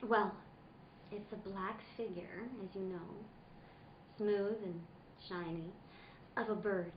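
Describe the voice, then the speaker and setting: A woman's voice: mid-range voice, fairly even intonation, takes it slowly, natural voice. A woman playing a seductive, lying femme fatale in a noir radio play, with theatrical, pleading delivery. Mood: coy. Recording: dull roomy recording with background noise.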